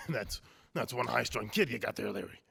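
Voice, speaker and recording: A man playing a shifty, defensive neighbour in an old-time radio drama, with a gravelly, husky, halting voice. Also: lowish voice, fairly bright tone, full character voice. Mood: evasive, falsely casual. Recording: distant, long hall reverb.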